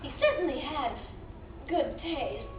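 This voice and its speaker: A woman's voice: high-pitched, big pitch swings, takes it slowly, heavily acted. A woman playing a haughty, smug villain queen in a theatrical comedy radio play. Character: haughty female villain queen in her hidden lair. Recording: noisy muffled echoey room, distant.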